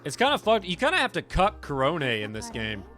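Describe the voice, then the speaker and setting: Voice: mid-range voice, wildly swooping pitch, brisk, sharp tone. A streamer playing a visual novel, chatting casually and reading game text aloud in a playful, joking way, often repeating phrases. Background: game music.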